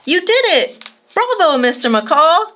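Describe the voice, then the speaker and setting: Woman playing an eager, high-pitched young boy. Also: sing-song, takes it slowly, a bit bright, slight background noise, exaggerated voice. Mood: amazed, proud. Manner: exclamatory, eager. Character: earnest, excitable young boy, devoted to his kite.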